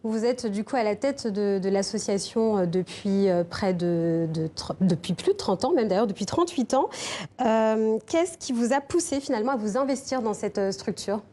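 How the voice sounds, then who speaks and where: A woman's voice: medium pitch, lively intonation, moderate pace, warm tone. Hesitant female TV interviewer. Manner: hesitant, many fillers between words. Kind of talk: TV interview questions.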